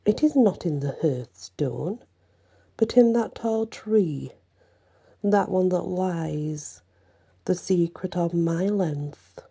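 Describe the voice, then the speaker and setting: A woman storyteller voicing a boastful, mocking male dragon with slow, taunting speech. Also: fairly low pitch, mellow, husky, heavily acted.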